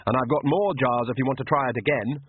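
A man's voice: mid-range voice, quick pace, a little hiss or hum, lightly acted. A man voicing a nervous but defiant young woman witch with a tense manner. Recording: tinny phone-like dry sound.

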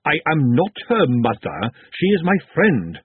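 A man's voice: on the high side, sing-song, moderate pace, a little hiss or hum, voice pushed up. A man voicing a prim, blunt working woman in a storybook, disapproving and prone to worry. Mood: indignant. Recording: muffled phone-like narrow band.

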